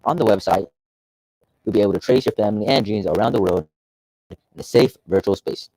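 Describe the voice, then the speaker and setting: Guy hosting a radio play, lowish voice, reads it out presenter style, no room echo. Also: a little fast, warm tone. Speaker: radio show announcer and host. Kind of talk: scripted radio-style announcing.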